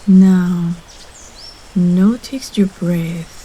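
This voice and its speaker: A woman gently guiding a meditation in a soft voice that turns breathy at phrase ends, soothing and unhurried, with long pauses. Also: on the low side. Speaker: meditation guide. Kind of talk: guided meditation narration. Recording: clearly audible steady background ambience noise.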